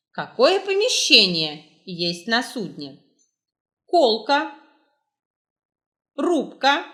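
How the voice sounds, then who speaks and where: Female quiz narrator: bright tone, animated intonation, unhurried and deliberate scripted reading. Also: fairly high pitch. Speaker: quiz host, librarian.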